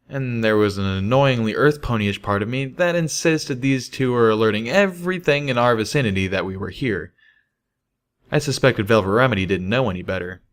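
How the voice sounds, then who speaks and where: A man with a fairly low voice narrates a first-person story. He reads from a script as an audiobook narrator. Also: a bit bright. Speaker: audiobook reader of fan fiction.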